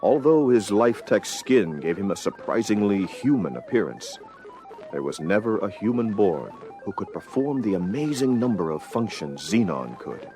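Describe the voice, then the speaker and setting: A man narrating an audio adventure story from a script in a measured, dramatic storytelling manner. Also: on the low side, big pitch swings, over music, poor audio.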